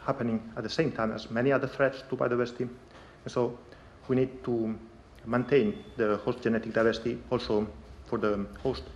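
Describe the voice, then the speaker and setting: A man presenting a scientific conference talk in a lecture hall, measured and pausing often with ums. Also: mid-range voice, level tone, muffled recording, slight background noise. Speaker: conference speaker.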